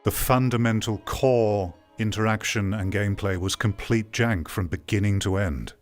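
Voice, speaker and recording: Low-pitched man giving blunt live commentary. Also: normal speed, faint music behind. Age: 30s. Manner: blunt, critical. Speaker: streamer reacting to a video. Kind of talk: off-the-cuff commentary.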